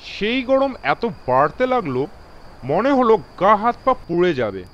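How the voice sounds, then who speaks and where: A man's voice: high voice, very animated, a little slow, a bit bright, dull audio, voice pushed up, full character voice. A man voicing an alarmed, authoritative railway guard in a horror audio story, speaking emphatically and mixing in English phrases. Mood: uneasy, amazed. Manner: emphatic, mixes English phrases into his speech.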